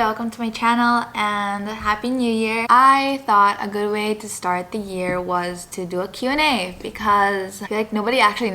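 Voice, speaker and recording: A woman's voice: medium pitch, pushed and bright. An eighteen-year-old woman vlogger from the Philippines, chatting casually and upbeat while answering viewer questions, with lots of "like" fillers. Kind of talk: casual Q&A vlog.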